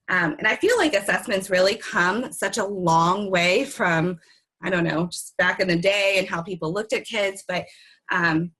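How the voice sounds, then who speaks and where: A woman's voice: mid-range voice, a bit bright, slightly rough. A young woman with a worn, recovering voice, presenting an online conference session with many ums and friendly asides. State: voice worn from recent illness. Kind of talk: webinar presentation.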